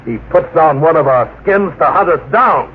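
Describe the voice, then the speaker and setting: An actor playing a grim adventurer telling of a frightening expedition in measured, dramatic old-time radio style. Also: male voice, on the high side, lively intonation, very noisy, natural voice. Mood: tense, urgent. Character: grim male adventurer recounting a terrifying expedition. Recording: muffled old radio recording, loud dramatic music.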